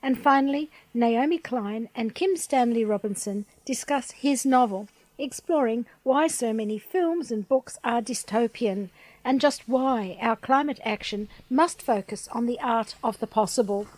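Higher-pitched woman presenter, dry sound. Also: measured pace, a little mellow, dry recording, low-quality recording. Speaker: radio show presenter. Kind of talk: scripted programme introduction.

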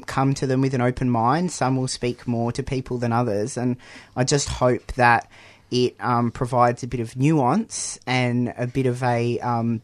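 Chatty man, book editor guest. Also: medium pitch. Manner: chatty, with many fillers and laughs. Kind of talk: radio interview.

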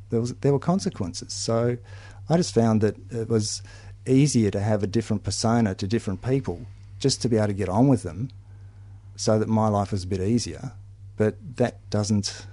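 A man's voice: fairly low pitch, warm tone. A man hosting a conversational discussion, sharing his own thoughts and putting questions to guests. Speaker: host leading a discussion.

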